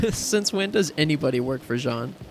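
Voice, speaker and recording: A woman voicing an exasperated, sharp-tongued older sister in a dramatic story reading. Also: low voice, animated, measured pace, faint music behind. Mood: wry. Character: exasperated, fiery older sister, sardonic and protective.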